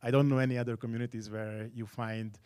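A man from Hungary, an academic and board member, introducing himself on a conference panel in a gentle, hesitant way. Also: medium pitch, flat delivery, fast, smooth. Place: conference hall on stage.

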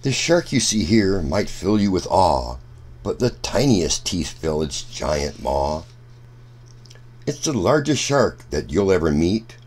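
A man reading a rhyming children's book aloud in a deliberate, sing-song storytelling manner. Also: sits low, big pitch swings, darkish tone.

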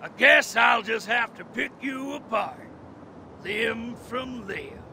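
An actor playing a vicious, taunting gang villain in a video game, strained and sneering. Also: male voice, very high-pitched, slow, gravelly, pushed and bright, a little hiss or hum, heavily acted. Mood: taunting menace. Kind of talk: video game cutscene acting.

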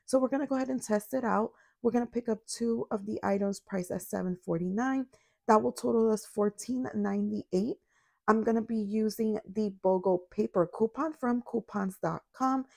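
A young woman recovering from a cold talks through a grocery couponing haul in a relaxed voiceover. Her voice is raspy with a gravelly fry. The recording is dry and close. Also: medium pitch, moderate pace, dry recording. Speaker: couponing vlogger. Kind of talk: casual shopping voiceover. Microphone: voiceover mic.

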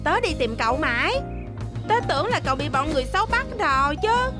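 An earnest young boy hero in a kids' cartoon. The voice is very high and full of concern and questions, with music clearly underneath. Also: sing-song, fast. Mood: curious, earnest. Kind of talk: animated children's cartoon dub. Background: music bed.

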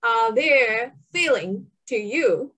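A woman with a Japanese accent speaking slow, hesitant English on a webinar video call, pausing often to find words. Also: fairly high pitch, animated, fairly bright tone. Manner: hesitant, many fillers, searching for English words. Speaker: webinar panelist. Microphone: video call laptop mic.

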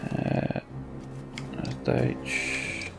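A man hosting a let's play, muttering casual commentary as he thinks aloud, with mild swearing and a gravelly voice. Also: extremely slow. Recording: game music behind voice. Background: game music.

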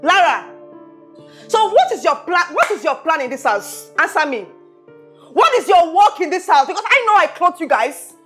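A woman's voice: extremely high pitch, very animated, normal speed, soft background music, exaggerated voice. An actress playing a haughty, hot-tempered rich woman who scolds and repeats her questions emphatically in a film drama. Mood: angry, indignant. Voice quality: loud, shouting when angered. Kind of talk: low-budget film drama acting.

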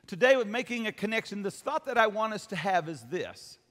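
A man's voice: high-pitched, lively intonation, a little fast, a bit bright. An older man preaching a sermon to a congregation as a pastor, in a folksy, emphatic manner. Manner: folksy, emphatic, seeks amens from listeners. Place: church hall, speaking from the stage. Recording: long hall reverb.

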